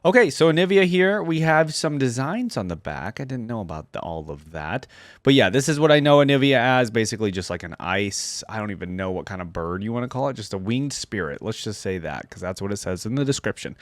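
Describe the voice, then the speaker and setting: A man's voice: lowish voice, animated, fairly quick, dry recording, studio quality. A man giving casual, rambling reaction commentary as a video host.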